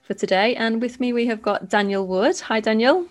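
A woman's voice: a little high, normal speed. A woman hosting a property podcast, asking questions with frequent restarts. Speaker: podcast host. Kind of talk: podcast interview.

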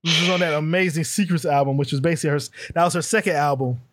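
A man co-hosting a casual music podcast, animated and laughing often as he acts out quotes. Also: fairly high pitch, very fast, background noise. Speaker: podcast co-host. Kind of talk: casual chat.

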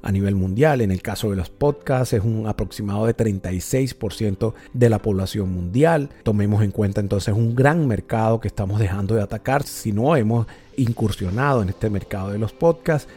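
A man hosting a podcast episode, giving measured, motivational advice on communication as a trainer. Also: fairly low pitch, mellow. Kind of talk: instructional podcast monologue.

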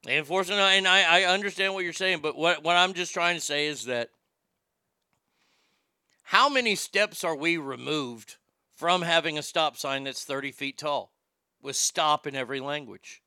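A man hosting a casual talk show, ranting in an animated, profane way. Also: on the high side, normal speed, clean tone, dry recording, clean studio sound. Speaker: host.

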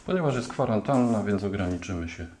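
A man's voice: fairly low pitch, animated, quick pace, darkish tone, a little hiss or hum. A Polish man narrating a field-recording demonstration as a podcast host, speaking into binaural microphones worn on his head. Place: on the move around home, partly outdoors.

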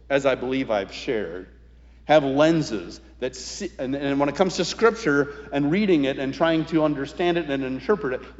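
A man preaching a sermon as a pastor, speaking in a reflective, deliberate way with emphatic pauses. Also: on the high side. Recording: reverberant hall, slightly dull sound.

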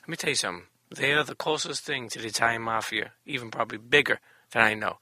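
A man's voice: medium pitch, quick pace, sharp tone, no echo. A man hosting a podcast, chatting casually in a blunt, profane manner. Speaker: podcast host. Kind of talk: casual chat.

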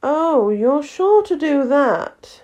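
A languid, slightly mad storybook cat, played by a woman, draws out every word at a very slow pace. The pitch sits on the high side, and the delivery is unhurried and teasingly calm. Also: exaggerated voice. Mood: languid, matter-of-fact. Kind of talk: storybook character reading.

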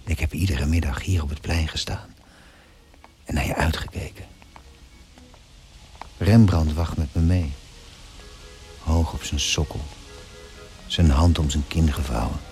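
Wistful hotel owner in a radio play, super low voice, measured, music underneath. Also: male voice, a little mellow. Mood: wistful, brooding. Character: melancholy male hotel owner looking back after the war. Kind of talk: radio drama monologue.

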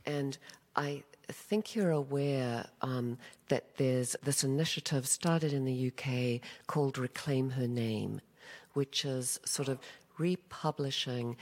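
A woman interviewer with an extremely low, gravelly voice puts measured questions to her guest. She prompts gently and pauses on fillers as she goes. A long reverb trails behind her words.

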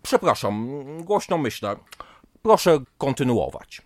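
A man playing a ponderous psychotherapist in a radio comedy, musing aloud with drawn-out, dryly sardonic asides. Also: mid-range voice, big pitch swings, no echo, clean studio sound. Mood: brisk, evasive. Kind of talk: radio comedy drama acting.

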